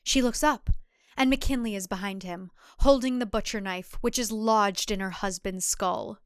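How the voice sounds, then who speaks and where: A woman's voice: medium pitch, moderate pace, smooth, no echo, studio quality. A woman narrator reading a scripted thriller in a dramatic storytelling style.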